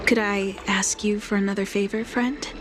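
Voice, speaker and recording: An actress voicing a gentle, calmly wise blind healer in a video game, speaking softly in a measured, soothing, earnest way. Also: female voice, medium pitch, very noisy, lightly acted. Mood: gentle concern. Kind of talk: scripted video game voice acting. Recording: background music under the voice.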